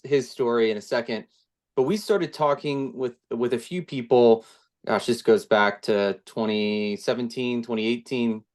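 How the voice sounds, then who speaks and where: A man on a video call, co-founder of a real estate firm, answering interview questions in a relaxed way with many restarts and fillers. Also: medium pitch.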